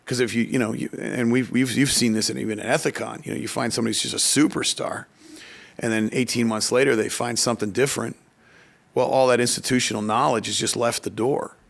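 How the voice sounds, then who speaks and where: A man with a noticeably creaky, gravelly voice at an ordinary male pitch. He speaks in a conversational podcast style, circling back over words as he explains things through anecdotes. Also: steady tone. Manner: halting, repeats words while explaining. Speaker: economic development official, podcast speaker.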